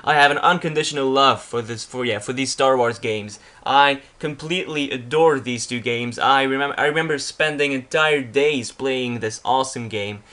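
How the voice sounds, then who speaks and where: Bright-voiced young Flemish man. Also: mid-range voice, normal speed, hardly any pauses. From Belgium. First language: Dutch. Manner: rambling with frequent restarts and fillers. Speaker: YouTuber. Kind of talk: casual vlog narrating a slideshow.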